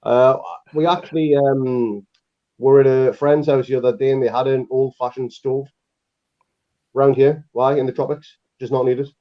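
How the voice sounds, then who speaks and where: A man from northeast England with a Northeast English accent, chatting in a relaxed way with dry humour on a podcast over a video call. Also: mid-range voice, normal speed, muffled recording. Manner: laid-back, dry humour. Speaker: podcast co-host. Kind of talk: casual podcast chat.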